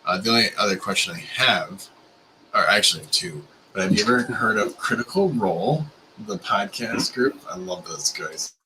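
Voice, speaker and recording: A male host with a bright, lively voice that swings up and down as he jokes with his guest on a video call. He sounds playful and quick to laugh, with a faint hiss behind him. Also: lowish voice, poor audio. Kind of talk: casual video call interview. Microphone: video call mic.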